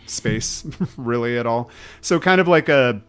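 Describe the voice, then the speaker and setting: A man's voice: medium pitch, expressive, measured pace, a bit bright, clean tone, soft background music. A man chatting casually as a podcast panelist, rambling and improvising in a joking way. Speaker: podcast panelist, tabletop game player. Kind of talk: casual group chat.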